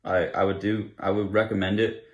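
A young man with a low-pitched voice talks through a product review. His delivery is casual and unscripted, explaining each point in plain words. He is recorded in a living room, a short distance from the camera's microphone. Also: little pitch movement, brisk, dull audio. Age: young adult.

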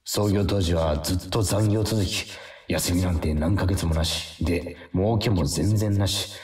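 A man's voice: deep voice, measured pace, a little mellow, reverberant, distant mic. An actor playing a middle-aged factory boss narrating his hard early years in a reflective radio drama.

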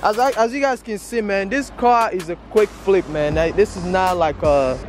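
A man's voice: sits high, moderate pace, soft background music. A young man in his twenties vlogging from an outdoor car auction lot with a handheld camera, giving casual hustle advice and calling people "man" and "bro". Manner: preachy hustle talk, repeats "man" and "bro". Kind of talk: casual vlog walkthrough. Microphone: handheld camera at arm's length.

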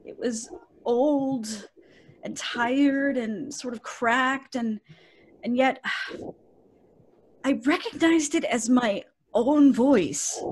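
A woman's voice: high-pitched, drawn-out words, pauses often, darkish tone, breathy edge, full character voice, rough recording. A woman playing a troubled, haunted wife with a hesitant, dreamy manner in an old-time radio drama.

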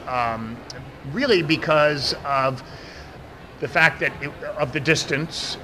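Big-voiced American man outdoors. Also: medium pitch. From United States. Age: middle-aged. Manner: says he has a big voice, restarts often. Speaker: interview guest, event professional. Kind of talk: casual interview answers. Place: outdoor event media wall. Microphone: handheld mic held by interviewer. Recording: outdoor traffic noise behind.

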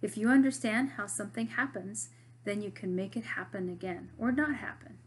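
A woman's voice: medium pitch, moderate pace, a little hiss or hum, close to an everyday voice. A woman reading as a skeptical schoolboy. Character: worried, skeptical schoolboy who wants facts.

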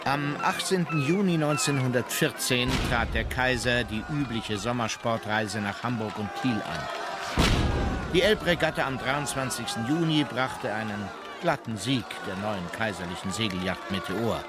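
An actor playing a sober, dutiful German admiral, formally recounting his memoirs in a measured radio drama narration. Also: mid-range voice, over a noisy crowd, very noisy, lightly acted. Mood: sober, factual.

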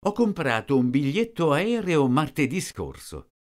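A man slowly reading out short sentences, each one twice, for a language lesson. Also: fairly high pitch, big pitch swings. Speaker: language teacher. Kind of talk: slow repeated phrase reading.

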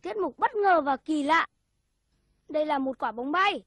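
A woman's voice: sits high, animated, a little slow, fairly bright tone, no echo. A woman voicing a cocky, playful schoolboy magician in a cartoon, announcing theatrically. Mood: showy. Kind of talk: cartoon voice acting, stage patter.

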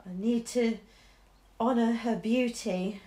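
A young English woman with a mixed Midlands accent talks slowly, full of ums and small restarts. She chats casually as she draws at home, and the room sounds echoey around her. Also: on the high side, steady tone, mellow. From Shropshire, England. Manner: chatty, many ums and restarts, laughs easily. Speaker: artist streamer. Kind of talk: casual chat while drawing. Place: living room at home, indoors. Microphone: camera over the shoulder.

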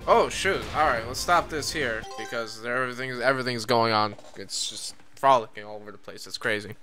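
Male gaming host, young adult: medium pitch, bright, informal commentary. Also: fairly quick. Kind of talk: casual let's play commentary. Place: small room indoors at home. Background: game music.

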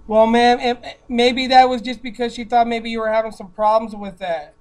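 A woman's voice: a little high, little pitch movement, normal speed, a little mellow, natural voice. A woman putting on a chatty, folksy church outreach caller in a prank call, gushing and deferential. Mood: polite, solicitous. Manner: gushing, folksy, deferential, repeatedly saying ma'am. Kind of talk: improvised prank phone call.

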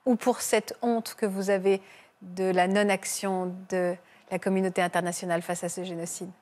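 Gentle woman talk show host. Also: mid-range voice, little pitch movement, moderate pace. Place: TV studio set. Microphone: lapel mic.

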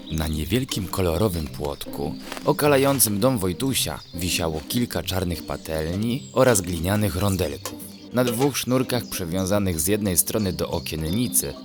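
Low-voiced man narrating a bedtime story. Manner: gentle, measured, descriptive. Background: music.

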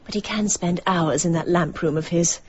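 An actress playing a bored, catty, discontented wife in a radio drama. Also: female voice, on the low side, moderate pace, a little mellow, muffled recording, slight background noise, close to an everyday voice. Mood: sly, bitter.

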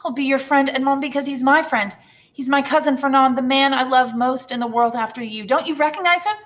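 A woman's voice: high-pitched, a little fast, fairly bright tone, pitched above the performer's own voice. A woman voicing a devoted, firm young woman in love in a storybook reading, speaking earnestly and emphatically. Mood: earnest, indignant.

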